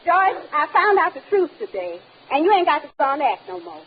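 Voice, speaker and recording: An actress playing a sharp-tongued, long-suffering wife in a vintage radio comedy. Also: female voice, high voice, sing-song, a little fast. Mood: earnest. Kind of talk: 1950s radio situation comedy acting. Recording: muffled, very noisy old radio recording.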